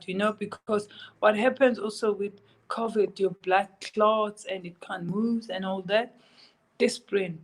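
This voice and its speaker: A woman's voice: medium pitch, poor audio. A woman with a South African accent, recovering from illness and giving earnest, repeated, pleading advice as an interview guest. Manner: urgent, pleading advice with repetition. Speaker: guest sharing her recovery story. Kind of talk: earnest personal testimony.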